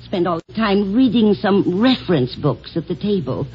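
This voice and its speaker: A woman's voice: mid-range voice, very animated, normal speed, a little hiss or hum, natural voice. An actress voicing a kindly, fussy elderly woman librarian who is easily flustered, in an old radio drama. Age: older. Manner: chatty, fussy, easily alarmed. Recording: dull lo-fi old radio recording.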